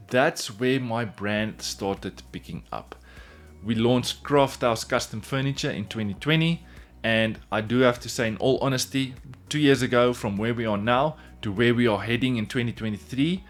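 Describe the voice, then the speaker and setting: A man in his thirties with an Afrikaans accent talking casually to camera about his woodworking business, earnest and repeating phrases for emphasis. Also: lowish voice. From South Africa. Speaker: woodworking YouTuber.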